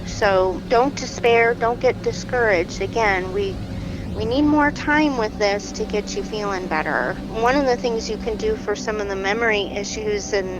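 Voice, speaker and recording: An actress plays a supportive female therapist in an audio drama, with a medium-pitched voice. She explains things gently and encouragingly in a natural, conversational way, with music clearly heard underneath. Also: normal speed, lo-fi sound, natural voice. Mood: explanatory, encouraging. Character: supportive, reassuring female brain-injury therapist.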